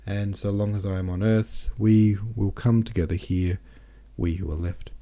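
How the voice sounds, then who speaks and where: A man's voice: on the low side, steady tone, moderate pace, mellow, natural voice. A man reading the lines of a composed, firm widowed mother in a storybook, close to his own voice.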